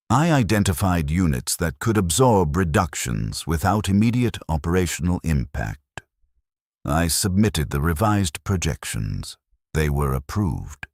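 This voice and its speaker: Very deep-voiced man narrating a story. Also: no room sound, studio quality. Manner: measured, solemn storytelling in short deliberate sentences. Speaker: narrator of a fictional first-person war account. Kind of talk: scripted cinematic story narration.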